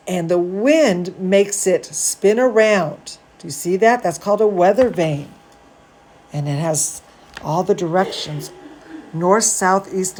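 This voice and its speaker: Expressive, slow-paced woman reading a bedtime story. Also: mid-range voice. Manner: gushing, expressive, talks to young children. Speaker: dance teacher hosting a children's story time. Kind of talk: read-aloud picture book storytelling with asides to children.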